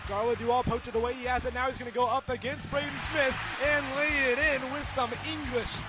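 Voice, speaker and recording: High-pitched, excitable male American play-by-play announcer. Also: fairly quick, music in the background. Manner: excited, emphatic, rising to shouts on big plays. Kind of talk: live radio play-by-play commentary.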